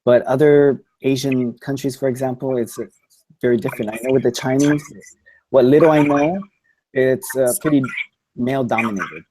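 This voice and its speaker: A man speaking as a guest on a panel, hesitant with many pauses and ums. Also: mid-range voice, dark tone, rough recording. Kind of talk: casual panel discussion.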